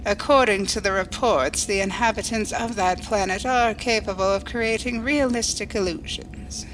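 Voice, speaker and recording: A woman's voice: medium pitch, fairly even intonation, normal speed, soft background music, natural voice, rough recording. A woman playing a coolly logical alien starship officer, formal, precise and unemotional, in a science fiction audio drama. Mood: formal, detached.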